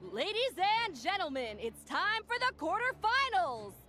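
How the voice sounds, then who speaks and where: A woman's voice: very high voice, sing-song, measured pace, pushed and bright, faint music behind, exaggerated voice. A woman voicing a showy, theatrical tournament announcer in a video game, rousing the crowd. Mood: hyped, showy.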